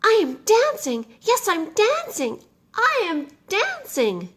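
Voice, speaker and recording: Woman voicing a high-pitched, emotive young giraffe. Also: wildly swooping pitch, takes it slowly, fairly bright tone, heavily acted. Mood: overjoyed. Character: shy, sensitive, clumsy young male giraffe.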